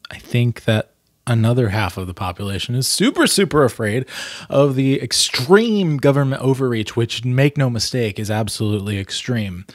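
A man's voice: mid-range voice, no room sound. Earnest man, close dry mic. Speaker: vlog host and storyteller. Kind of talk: storybook reading and candid commentary. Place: small plain room indoors. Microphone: desk microphone, close.

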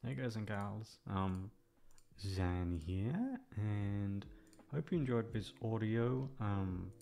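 A man's voice: lowish voice, expressive, slow pace, vocal fry, mellow. A man chatting casually to his listeners in a hesitant, meandering way, close on the microphone. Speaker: audio roleplay voice actor. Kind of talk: casual rambling outro to listeners. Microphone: close studio microphone. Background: music.

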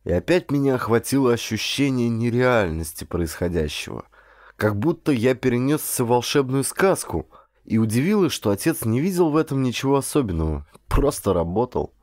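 A man's voice: mid-range voice, very animated, moderate pace, darkish tone, dry recording, studio quality. A man reading a first-person adventure story as a scripted audiobook narration.

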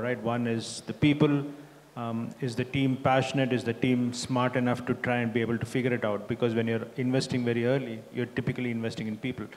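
A middle-aged man speaks on a conference stage through a handheld mic. His voice sits at an ordinary pitch, and he explains things in a measured way with plenty of fillers. The long reverb of a large hall trails behind his words. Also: darkish tone. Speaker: investor panelist. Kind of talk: panel discussion answer.

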